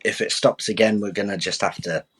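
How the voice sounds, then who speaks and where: Frank, chatty young man, low voice. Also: steady tone, brisk, a bit bright, breathy edge, dry recording. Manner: open, frank, chatty, restarts with "I'll be honest". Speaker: guest boxer. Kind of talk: candid podcast interview.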